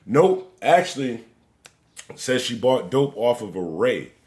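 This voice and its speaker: Animated man in his forties, rambling. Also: mid-range voice, slow. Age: 40s. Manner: rambling, joking, repeats himself; "bro" and "gang" at line ends. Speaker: stream host. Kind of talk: casual live-stream commentary.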